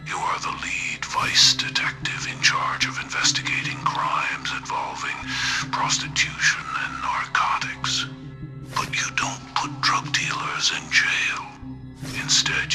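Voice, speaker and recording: An actor playing a sinister serial killer in a video game, taunting captives in a hushed, gravelly, deliberate and menacing voice. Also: male voice, natural voice. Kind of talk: video game villain recorded message.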